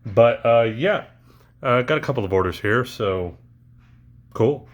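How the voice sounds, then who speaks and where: A man's voice: medium pitch, animated, measured pace. A man talking casually through a toy unboxing, rambling with lots of ums and uhs, his voice recently back after allergies.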